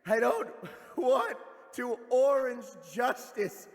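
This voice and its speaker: Desperate warrior angel: very high for a male, gravelly, theatrical, anguished, in a reverberant hall. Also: big pitch swings, exaggerated voice. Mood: weary, pleading. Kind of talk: theatrical comedic video dub. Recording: long reverberant hall echo.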